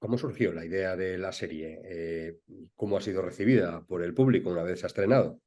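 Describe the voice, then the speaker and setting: A man asks long, formal interview questions in a measured way. His voice is very low and dark, and he talks at a quick pace.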